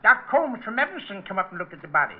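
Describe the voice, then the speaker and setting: A man's voice: high-pitched, sing-song, fast. An actor playing a talkative, muddled old lodge foreman with a rural American accent, rambling and repeating himself in old-time radio style. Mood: folksy, rambling. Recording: dull vintage radio broadcast recording.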